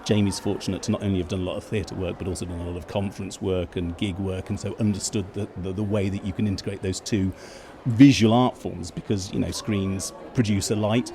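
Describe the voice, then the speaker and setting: A man's voice: low voice, fairly even intonation, fairly quick, dark tone, a little hiss or hum. A man giving fluent, explanatory answers as an interview guest. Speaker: theatre company chief executive, interview guest. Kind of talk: backstage interview. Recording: busy event with crowd background.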